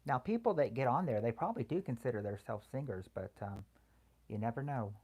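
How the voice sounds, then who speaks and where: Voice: mid-range voice, lively intonation, a little mellow, slightly rough. Soothing, hesitant livestream host. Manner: hesitant, halting, full of restarts. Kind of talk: casual chat answering viewer comments.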